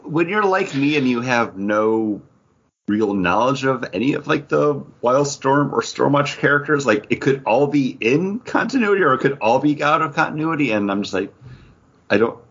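A man hosting a comics podcast in casual chat, upbeat and quick to joke. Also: mid-range voice, animated, husky. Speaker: podcast host. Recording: dull lo-fi audio.

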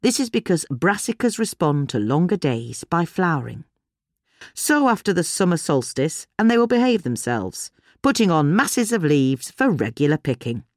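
A woman's voice: on the low side, very animated, moderate pace, dry recording, studio quality. A woman with a British accent reading a scripted article as a narrator.

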